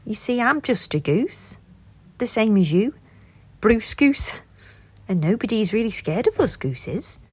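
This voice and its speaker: Lady voicing a timid little gander, hesitant, soft husky voice. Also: fairly high pitch, big pitch swings, unhurried, pauses often, no room sound, pitched above the performer's own voice, exaggerated voice. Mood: nervous. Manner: hesitant and nervous. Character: shy, nervous male goose.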